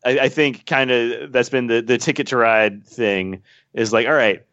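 A man's voice: mid-range voice, normal speed, a bit bright, no room sound. A man explaining game design at length as a podcast guest, thoughtful and full of small fillers. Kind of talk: casual podcast chat. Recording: dull, dry podcast audio.

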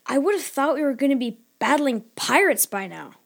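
High-pitched young boy, excitable, combative, brash teasing, children's audio drama. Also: brisk, vocal fry, exaggerated voice. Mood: impatient, eager. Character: excitable, combative boy eager for pirates and scrapes.

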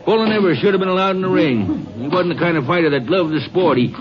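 Crusty old American fight fan. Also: male voice, high-pitched, lively intonation. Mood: crusty, opinionated. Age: older. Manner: folksy, rambling, hesitant drawn-out speech. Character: crusty old male boxing fan, folksy and opinionated. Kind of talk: old-time radio acting. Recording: muffled 1950s radio recording, crowd noise behind. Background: crowd noise.

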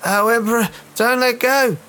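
A man's voice: very high voice, steady tone, normal speed, sharp tone, voice pushed up. A man voicing a nervous, nimble climber who is no fighter, with timid colloquial speech, in a radio play. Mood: panicked. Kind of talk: radio play character acting.

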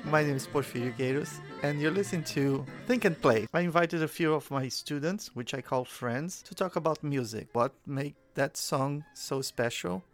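A man's voice: a little high, faint music behind. Friendly man hosting a podcast. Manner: friendly, encouraging, quick interjections. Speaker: podcast host. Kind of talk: casual podcast interview.

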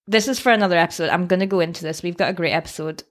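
A woman in her twenties with a Scottish Borders accent, co-hosting a casual podcast chat in a playful, teasing way. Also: on the low side. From Scotland. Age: 20s. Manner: playful, teasing, quick to laugh. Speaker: co-host.

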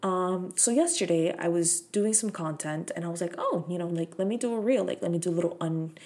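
A woman's voice: lowish voice, brisk, a little mellow. A woman, hosting her own podcast in frank, profane, filler-heavy casual chat. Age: 30s. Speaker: podcast host.

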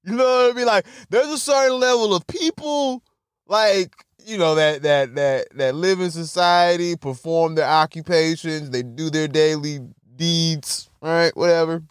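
A man's voice: a little high, unhurried, clean tone, no echo, studio quality. Laughing, swearing young man who stutters. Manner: laughing, profane, self-mocking. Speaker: podcast host. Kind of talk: casual solo podcast rambling.

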